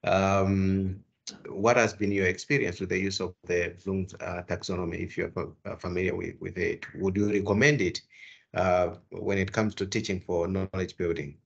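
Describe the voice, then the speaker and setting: A man's voice: low voice, steady tone, normal speed, creaky, breathy edge. A man from Malawi with a health background, courteously asking a question with many hesitations at an online seminar. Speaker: seminar participant. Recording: muffled video call audio.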